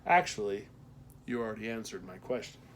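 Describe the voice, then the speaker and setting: A man's voice: fairly low pitch, sing-song, brisk, creaky, a little hiss or hum. A man voicing a wry, good-natured middle-aged husband in casual audiobook dialogue. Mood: casual, joking.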